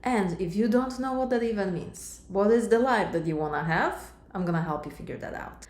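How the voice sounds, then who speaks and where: A woman's voice: mid-range voice, expressive, fairly quick. Polish woman coaching in an echoey room. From Poland. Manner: warm, direct, addresses viewer as sister and my love. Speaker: life coach. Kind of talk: coaching monologue to camera.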